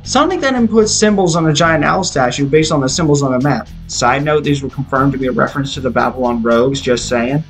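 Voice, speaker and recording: A man's voice: mid-range voice, fairly quick, hardly any pauses. A man reading a scripted film review in an opinionated, explanatory way. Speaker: video reviewer. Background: background music.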